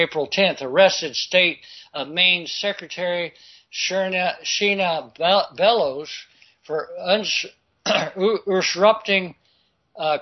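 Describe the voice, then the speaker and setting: A man with a voice a little on the high side reads news pieces aloud and breaks off into his own remarks. He often halts and trips over words, filling the gaps with fillers. The recording is dull and lo-fi. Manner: halting and stumbling over words, with frequent filler. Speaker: political commentary host. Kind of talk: reading news articles aloud with off-the-cuff asides.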